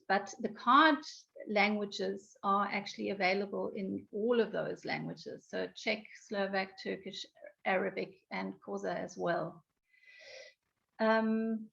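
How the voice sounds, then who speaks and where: A woman giving an online software training, explaining with frequent ums, her voice going croaky. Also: mid-range voice. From South Africa. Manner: explanatory, many ums and restarts. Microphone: video call. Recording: dull lo-fi online call audio.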